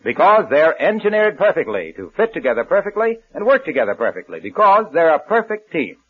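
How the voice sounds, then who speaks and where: A man working as a radio announcer and pitchman, delivering scripted 1940s-style commercial copy. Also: very animated, brisk. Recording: dull vintage radio broadcast.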